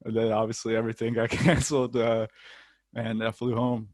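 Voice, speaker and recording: A man's voice: mid-range voice, little pitch movement, a little fast, darkish tone, no echo. A young American man, a football player, chatting casually in an interview with frequent ums and the odd light laugh. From United States.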